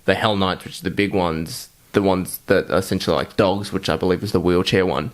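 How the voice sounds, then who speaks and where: Man, lowish pitch, podcast host, casual film and gaming chat, sweary. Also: steady tone, brisk. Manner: foul-mouthed. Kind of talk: casual chat about films and video games.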